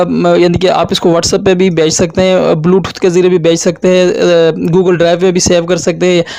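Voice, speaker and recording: High-pitched man giving a tutorial. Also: darkish tone, no room sound. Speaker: tutorial host. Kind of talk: step-by-step app tutorial narration.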